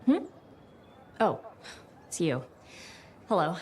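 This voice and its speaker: A guilt-burdened military commander in a video game. Her voice is on the low side. She speaks in a stern, terse, self-blaming way, with faint noise behind. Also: big pitch swings, takes it slowly, darkish tone. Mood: troubled, resolute. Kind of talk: video game dramatic acting.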